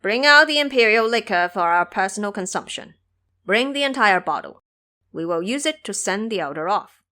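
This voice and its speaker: A woman's voice: medium pitch, lively intonation, moderate pace, bright, forceful tone. Woman playing a formal, courteous king. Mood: gracious, hopeful. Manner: formal, stately royal speech. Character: timid, courteous, regal Chinese king.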